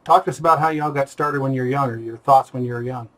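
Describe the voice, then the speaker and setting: A man's voice: medium pitch, fast, low-quality recording. A man hosting a casual, conversational interview and putting questions to his guest. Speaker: interviewer, host.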